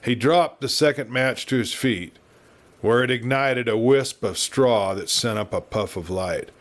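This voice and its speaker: A man's voice: mid-range voice, a little slow. American man narrating a Western tale. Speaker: audiobook narrator.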